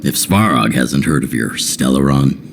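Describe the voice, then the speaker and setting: An actor playing a gruff, blunt older resistance leader in a game cutscene, measured and frank, with a gravelly voice. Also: male voice, a bass voice, animated, full character voice. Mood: measured, serious. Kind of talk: dramatic game cutscene dialogue. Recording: loud music under the voice.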